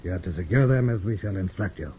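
An actor playing a menacing communist spymaster in a 1950s radio drama, formal, measured and imperious. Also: male voice, low-pitched, expressive. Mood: commanding. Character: smooth, menacing, commanding male communist spymaster. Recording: muffled, faintly noisy 1950s radio recording.

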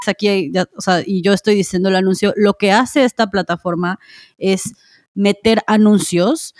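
Podcast co-host: woman, conversational commentator, average pitch, dry recording. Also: a little mellow, no room sound.

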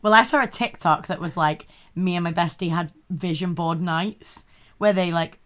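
Woman, twenties, low voice, playful, teasing, casual swearing, podcast co-host. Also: normal speed, fairly bright tone. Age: 20s. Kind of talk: casual chat.

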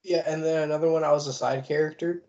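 A man with a somewhat high voice chats in a laid-back, self-mocking way on a casual podcast. He laughs a lot. The recording is a bit dull. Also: fairly even intonation, fast, a loud crowd. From United States. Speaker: podcast co-host. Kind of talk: casual chat.

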